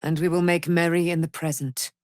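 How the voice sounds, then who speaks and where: A woman's voice: fairly low pitch, level tone, a little fast, darkish tone, no room sound, clean studio sound, natural voice. A woman playing a proud immortal warrior, daughter of a moon goddess, in theatrical fantasy game style with grandiose, archaic diction. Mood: proud, vigilant.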